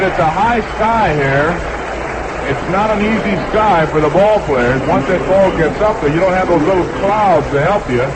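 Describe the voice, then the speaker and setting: An American man calling baseball play-by-play live on 1960s radio from an outdoor ballpark, in clipped fragments with deliberate pauses. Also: on the high side. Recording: very noisy 1960s radio broadcast recording.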